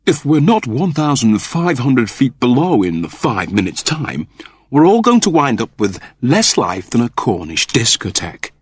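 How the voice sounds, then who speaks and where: A man's voice: medium pitch, very animated, unhurried, slightly rough, pitched above the performer's own voice. A man voicing a fussy, anxious servant robot in an audiobook, speaking precisely with wry humour.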